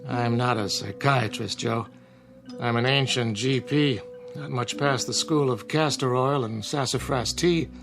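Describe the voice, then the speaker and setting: An aging country doctor for a radio drama. He is wry and a little wordy, speaking in a measured, folksy way at middling pitch with faint music behind. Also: creaky. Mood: wry, intrigued. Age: older.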